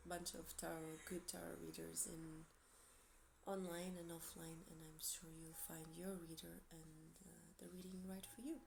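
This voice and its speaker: A woman's voice: on the low side, flat delivery, normal speed, vocal fry, noisy background. A woman from Portugal with a Portuguese accent, chatting casually to camera as she gives a tarot reading in a meandering way. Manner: chatty, meandering, light laughs. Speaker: tarot reader.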